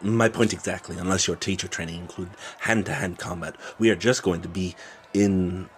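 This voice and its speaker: A man's voice: low-pitched, fairly even intonation, moderate pace, a little hiss or hum, lightly acted. An actor playing a nervous, sarcastic man in an audio drama. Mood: alarmed, sarcastic. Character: anxious, sarcastic male teacher's companion. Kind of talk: dramatic audio fiction acting.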